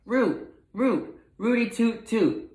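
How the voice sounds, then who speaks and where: A man voicing a big, bossy, boastful girl capybara bully from a children's storybook, loud and showing off. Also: extremely high pitch, lively intonation, slow, reverberant, pitched above the performer's own voice, heavily acted. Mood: gleeful singsong. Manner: loud, boastful, shouting answers.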